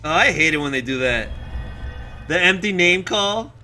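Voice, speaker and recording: A man's voice: high-pitched, lively intonation, moderate pace, sharp tone, faint music behind. A young man giving casual, joking game commentary, sweary and mock-exasperated. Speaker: game streamer.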